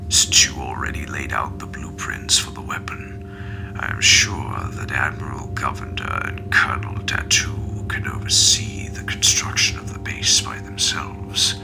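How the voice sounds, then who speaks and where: Creepy old alien overlord, whispery and slow, super gravelly, comic dub with music behind. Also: male voice, very deep voice, exaggerated voice. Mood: veiled threat. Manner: slow, menacing, deliberate. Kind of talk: fan comic dub acting.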